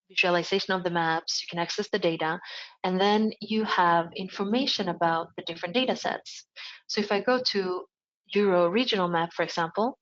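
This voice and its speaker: A woman with a fairly low voice presents a webinar, walking through a site step by step. She talks in an explanatory way, with many fillers and self-corrections. The audio is dull and lo-fi. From Sweden. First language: Swedish. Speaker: project presenter. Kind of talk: webinar screen-share walkthrough.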